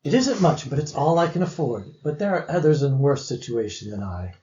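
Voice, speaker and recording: A man's voice: medium pitch, lively intonation, a little fast, mellow, highs cut off, lightly acted. A man playing a meek, kindly, poor clerk in a family radio drama, speaking with hesitant deference. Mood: humble, kindly.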